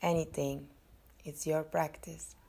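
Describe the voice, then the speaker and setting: Very slow, low-pitched woman guiding meditation. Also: level tone, creaky, warm tone. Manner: soothing, unhurried, with long pauses between short phrases. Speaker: podcast host and yoga nidra guide.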